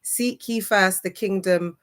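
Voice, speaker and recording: A woman hosting a casual podcast chat, playful and quick to chime in. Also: mid-range voice. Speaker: podcast host.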